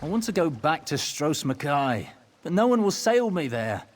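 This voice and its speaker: An actor playing a sulky, self-pitying stranded traveller in a fantasy game, with a whining manner. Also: male voice, on the high side, big pitch swings, moderate pace. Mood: miserable.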